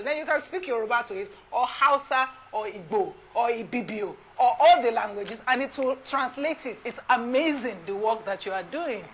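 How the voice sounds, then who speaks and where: A woman hosting a live TV studio interview, effusive and full of quick restarts. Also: high voice, fairly bright tone, breathy edge. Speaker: TV talk show host.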